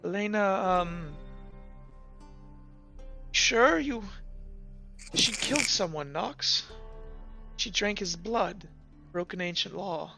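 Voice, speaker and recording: Man voicing a weary, halting woman guard commander. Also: high-pitched, fairly bright tone, faint music behind. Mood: grave, halting. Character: tired, worried female guard commander, halting and grave.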